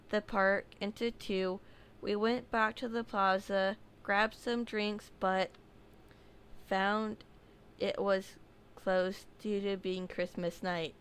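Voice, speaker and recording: A woman narrating a submitted true horror story, reading slowly with small slips and casual asides. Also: medium pitch, a bit bright, smooth.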